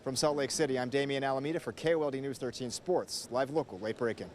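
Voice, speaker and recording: A man's voice: mid-range voice, quick pace, a little mellow. A man delivering a scripted television sports report as a field reporter.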